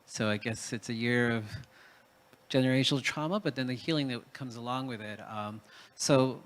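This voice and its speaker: A man's voice: medium pitch, moderate pace. A man from Peru speaking as a panelist in a reflective talk, hesitant, with many fillers and restarts.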